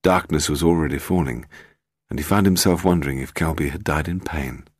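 A man's voice: rumbling low, brisk, dry recording, clean studio sound. A man with a British accent reading scripted detective fiction as an audiobook narrator. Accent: British English.

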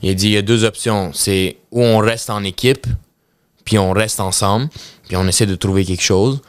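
A man in his thirties with a Quebec French accent, a podcast guest telling his story in an animated way, with many restarts and English words mixed in. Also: lowish voice, moderate pace, dry recording, clean studio sound. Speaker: podcast guest, professional hockey player. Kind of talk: casual storytelling interview. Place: indoor podcast studio with bookshelves. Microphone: studio podcast mic on boom arm.

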